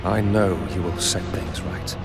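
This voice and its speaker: A man voicing a grave, earnest demigod oath keeper in a video game, speaking in a solemn, measured way. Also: deep voice, gravelly. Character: grave, sorrowful male oath keeper, rebellious demigod son. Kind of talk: cinematic video game acting. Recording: loud orchestral music behind. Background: loud game score.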